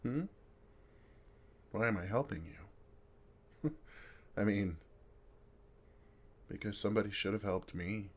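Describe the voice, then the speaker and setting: A man playing a kind, wry older vampire who comforts a fledgling in a soft-spoken, reassuring ASMR roleplay, gently teasing with pauses. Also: lowish voice. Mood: tender, wistful. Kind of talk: intimate ASMR roleplay, second-person comforting.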